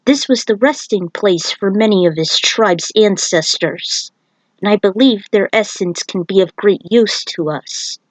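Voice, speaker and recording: A woman's voice: mid-range voice, unhurried, no echo, close to an everyday voice, rough recording. A woman voicing a solemn male thunder god who speaks in a grave, formal way, as in a storybook. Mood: solemn, instructive. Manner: grave, formal, stately phrasing.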